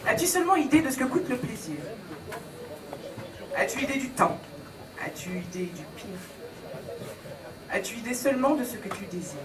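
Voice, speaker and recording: A man's voice: extremely high pitch, big pitch swings, normal speed, pauses often, crowd noise. A man reciting slam poetry at a live session. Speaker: slam poet. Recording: echoey, distant, audience noise behind.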